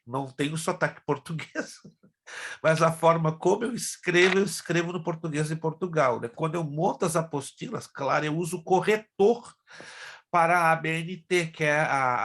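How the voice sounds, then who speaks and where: A man's voice: on the high side, a bit bright. A middle-aged man, a pastor from Brazil whose first languages are Hebrew and Spanish, teaching an online class in a chatty way that speaks straight to his students. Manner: chatty, addresses class directly.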